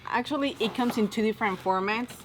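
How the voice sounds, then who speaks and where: A woman co-hosting a vlog in casual chat, chatty and quick to chime in. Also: a little high, background noise. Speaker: co-host of an RV travel vlog.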